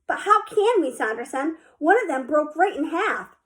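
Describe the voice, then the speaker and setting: A woman's voice: high-pitched, sing-song, normal speed, fairly bright tone, pitched above the performer's own voice. A woman voicing a touchy, tearful young girl who takes offense easily. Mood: indignant. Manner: indignant, tearful.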